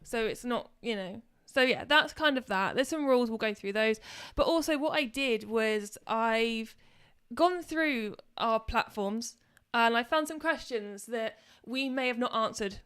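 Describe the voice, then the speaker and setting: A woman co-hosting a casual live stream with her husband, chatty and quick to laugh, often trailing off. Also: on the high side, fairly bright tone, clear, resonant tone. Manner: chatty, laughs easily, trails off mid-sentence. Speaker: co-host of a motorcycle channel live stream.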